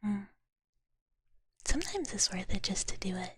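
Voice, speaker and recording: A woman whispering softly and breathily close to the mic in a gentle, cutesy way, chatting casually as an ASMR host. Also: mid-range voice, very animated, normal speed, no room sound. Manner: gentle, cutesy, speaks of herself in third person. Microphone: close ASMR microphone. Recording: clear noise, clicking trigger sounds.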